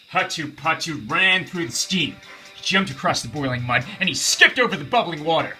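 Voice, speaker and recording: A man narrates a bedtime story for children with theatrical emphasis. His voice is fairly high and very bright, swinging through lively pitch changes over music. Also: breathy edge. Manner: theatrical, emphatic, repeating phrases for suspense.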